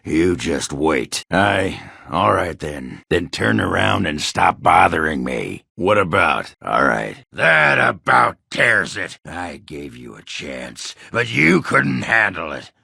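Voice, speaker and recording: Crude dwarf warrior, extremely low pitch, heavy gravel, blunt swagger, fantasy game character. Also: male voice, big pitch swings, takes it slowly, pushed and bright, no room sound, studio quality, heavily acted. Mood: angry, bitter. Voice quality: gravelly, rough, husky. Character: gruff, crude, boastful dwarf warrior, a berserker.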